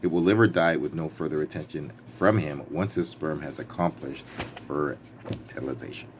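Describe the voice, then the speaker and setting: Very deep-voiced man reading aloud playfully. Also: normal speed. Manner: emphatic, playful, breaks off for wry asides. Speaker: reader and commentator. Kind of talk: book reading with casual ad-lib asides. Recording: clearly noisy background ambience.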